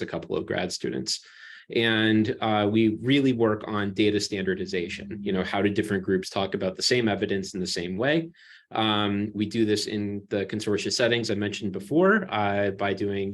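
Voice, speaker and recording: A man speaking as an academic research panelist, introducing himself on a webinar with frequent pauses and ums. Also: lowish voice. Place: remote webinar call.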